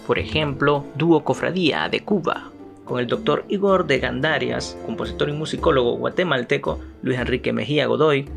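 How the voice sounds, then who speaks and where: A man hosting a podcast, first reading a guest introduction in a measured, formal way, then interviewing his guest. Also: medium pitch, gravelly. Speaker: podcast host.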